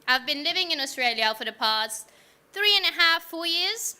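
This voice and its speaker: A woman's voice: sits high, animated, moderate pace, bright, forceful tone. A young woman from Iran telling her personal story to a live audience in a frank, wry, casual way, asking the room questions.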